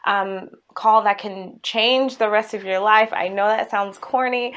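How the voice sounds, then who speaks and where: Earnest, halting woman telling her story. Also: medium pitch, fairly even intonation, a bit bright, clear, resonant tone, highs cut off. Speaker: company CEO. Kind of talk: personal story to camera, promotional talk.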